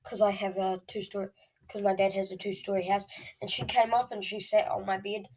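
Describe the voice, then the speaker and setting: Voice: medium pitch, flat delivery, a little fast, a little mellow, a little hiss or hum, rough recording. An eleven-year-old child hosting a video channel, telling stories casually to viewers in a dramatic, whiny way. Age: child, eleven years old.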